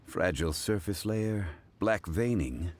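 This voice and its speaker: An actor playing a calm, dignified gentleman scholar of stones in a video game, speaking in a measured, formal way. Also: deep voice. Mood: composed, thoughtful. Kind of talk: English video game voice acting. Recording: game music behind the voice. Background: background music.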